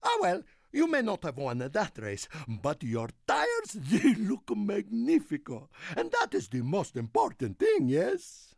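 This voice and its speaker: An actor playing an excitable, friendly little Italian car in a video game, with an Italian accent and a theatrical, effusive manner. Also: male voice, high-pitched, slow, vocal fry, no room sound, clean studio sound, exaggerated voice. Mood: cheerful, encouraging. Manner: theatrical, effusive, speaks of himself in third person. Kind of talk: video game character voice lines.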